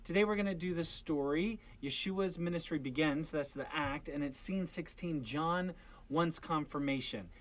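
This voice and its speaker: A man's voice: fairly high pitch, moderate pace. A man, a father casually coaching and encouraging his family through a Bible read-along. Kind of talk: casual family instruction and chat.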